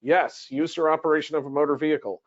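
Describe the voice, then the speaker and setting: A man's voice: on the high side, fairly even intonation, fast, lo-fi sound. A man presenting a legal webinar in a conversational, explanatory way, posing questions and then answering them. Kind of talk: webinar lecture, explaining from notes.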